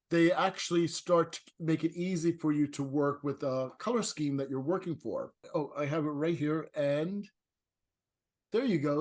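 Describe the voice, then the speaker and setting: A man hosting an interior design talk over a video call, chatty and halting, often restarting his sentences and laughing. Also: fairly high pitch.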